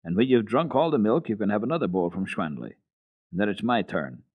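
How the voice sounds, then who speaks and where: A man's voice: lowish voice, animated, a little fast, slightly rough. A man doing a bossy goatherd boy, tinny radio. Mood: plain. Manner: curt commands. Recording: tinny old radio recording.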